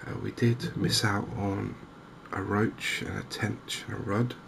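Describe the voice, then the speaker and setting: A man giving softly spoken ASMR commentary on a game, hushed, hesitant and unhurried, close to the microphone. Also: fairly low pitch, level tone. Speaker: gaming video host. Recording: clear background noise.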